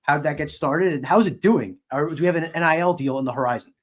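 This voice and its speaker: A man's voice: a little high, quick pace. A man hosting a sports podcast, asking questions in a casual interview style. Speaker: podcast host, sports reporter.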